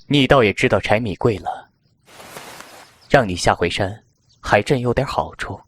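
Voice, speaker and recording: A man playing a proud, weary fox-spirit king and stern elder brother in a Chinese audio drama, measured and aloof. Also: lowish voice, expressive, a little hiss or hum. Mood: dry, aloof.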